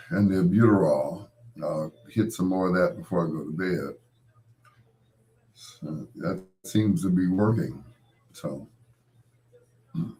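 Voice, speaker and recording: A middle-aged man with a very low voice, gone hoarse from a cold. He hosts a late-night radio show in a loose, rambling, folksy way and chuckles at his own corny jokes. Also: dark tone.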